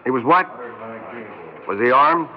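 A man's voice: medium pitch, lively intonation, measured pace, natural voice. An actor playing a harried, sardonic male police lieutenant in a 1960s radio drama, curt and impatient. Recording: muffled 1960s radio recording, faint noise.

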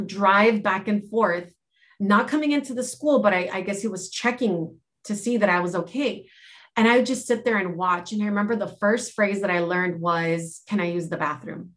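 A woman from Los Angeles, a life and health mentor, reflectively telling her own story in a podcast interview. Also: medium pitch. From Los Angeles, United States.

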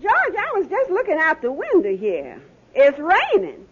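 An actress playing a suspicious, sharp-tongued wife in a 1950s radio sitcom, nagging in folksy dialect. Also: female voice, very high voice, huge pitch swings, a little slow, heavily acted. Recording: muffled old radio broadcast.

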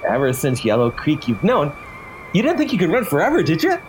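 A man's voice: a little high, huge pitch swings, fairly quick, soft background music, low-quality recording. An actor playing a sinister male bounty hunter who collects souls, drawling and menacing in a horror audio drama.

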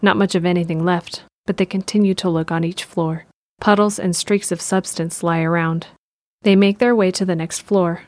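A woman's voice: fairly low pitch, steady tone, normal speed, darkish tone, dry recording. A woman reading scripted narration for an audio drama.

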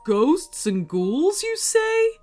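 A woman voicing a big, gentle, hungry female elephant in a children's story. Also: extremely high pitch, big pitch swings, very slow, soft background music, pitched above the performer's own voice, heavily acted. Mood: surprised.

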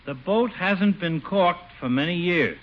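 Brash wisecracker, high animated voice. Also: male voice, measured pace. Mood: breezy. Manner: breezy, cocky, slangy. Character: brash, slangy wisecracking male pal. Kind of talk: 1940s-50s radio sitcom acting. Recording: hissy, muffled old radio broadcast.

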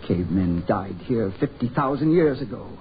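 Man acting an excitable, disbelieving man, swooping pitch. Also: normal speed, lightly acted. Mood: disbelieving, agitated. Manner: urgent, exclamatory, stammering repetitions. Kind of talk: 1940s radio horror acting. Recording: noisy, tinny 1940s radio recording.

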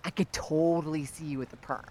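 A woman's voice: deep voice, steady tone, fairly quick, darkish tone. A woman playing a tipsy, nosy and pushy young neighbour in a comic audio drama, chatty, teasing and insistent. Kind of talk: audio drama comedy acting.

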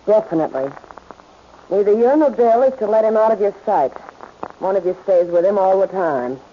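An actress playing a shrewd, poised gambling-house boss, smooth, polite and commanding, in 1940s radio acting style. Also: female voice, mid-range voice, animated, normal speed, close to an everyday voice. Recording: dull, noisy old radio recording.

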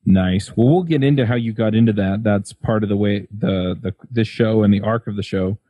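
A man's voice: on the low side, normal speed, no echo, highs cut off. A man hosting an interview podcast, talking casually and using colloquial, folksy phrasing. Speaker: podcast host. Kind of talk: casual podcast interviewing and scripted sponsor read.

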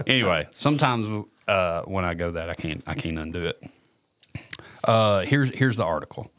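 A man's voice: low-pitched, expressive, normal speed, fairly bright tone, no echo. A man, co-hosting a podcast, reading a news story aloud and often tripping over names. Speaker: podcast co-host. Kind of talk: casual chat, reading a news article aloud.

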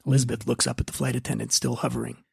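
A man's voice: mid-range voice, flat delivery, rapid-fire, creaky, darkish tone, slightly rough, dry recording, studio quality. A man hosting a podcast, talking casually and narrating a script. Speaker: podcast host and writer.

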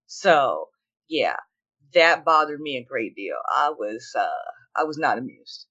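A woman's voice: extremely low pitch, sing-song, a little slow, sharp tone, lo-fi sound. A middle-aged woman co-hosting a podcast, telling anecdotes in a chatty, emphatic and opinionated way. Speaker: podcast co-host. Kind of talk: casual conversation.

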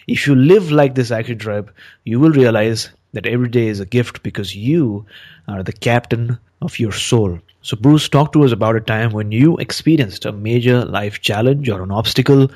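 A man's voice: medium pitch, a little mellow. A man hosting a podcast, giving an earnest motivational talk and asking questions. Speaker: podcast host.

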